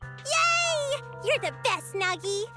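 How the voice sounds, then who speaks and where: A woman voicing an excitable, gleeful little girl in a video game, childlike and exuberant. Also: extremely high pitch, slow, over loud music, full character voice.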